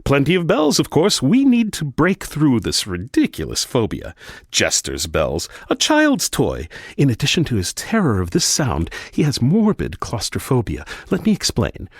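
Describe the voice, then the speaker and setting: An actor playing a smug, eccentric asylum doctor in a gothic radio drama, genteel and theatrical. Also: male voice, medium pitch, huge pitch swings, normal speed, slightly rough, dry recording, studio quality, heavily acted. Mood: gleeful fascination. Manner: theatrical, genteel, gleefully pompous. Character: eccentric, smug asylum doctor showing off his mad patients.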